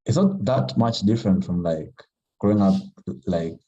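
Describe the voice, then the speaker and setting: Soft-spoken man, dark mellow voice. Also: fairly low pitch, expressive, muffled recording. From Kenya. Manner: halting, thoughtful, heavy with fillers. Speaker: writer, podcast guest. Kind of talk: casual conversation.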